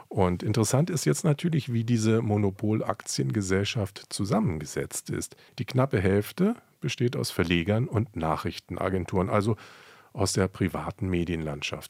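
A man's voice: lowish voice, a little mellow, no room sound. A man co-hosting a history program in a colloquial, questioning and emphatic conversational manner. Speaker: co-host of a history podcast. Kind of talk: conversational radio commentary.

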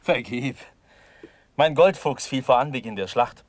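An actor playing a proud, impetuous young prince and officer in a classical radio drama, with theatrical, impassioned delivery. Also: male voice, mid-range voice, a little slow, no echo, natural voice.

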